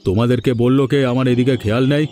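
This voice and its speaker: A shifty village headman from a fairy-tale cartoon, acted by a man. His voice is mellow and medium in pitch. He is evasive and quick to excuse himself, with faint music underneath. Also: steady tone, close to an everyday voice. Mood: defensive. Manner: stammers when cornered.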